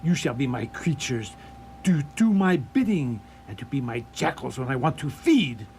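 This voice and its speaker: A man playing a commanding, seductive undead vampire count in a radio play, grandiose and deliberate in his menace. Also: medium pitch, lively intonation, a little hiss or hum, close to an everyday voice. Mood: menacing, scornful. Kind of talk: radio theatre acting. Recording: radio play under loud music.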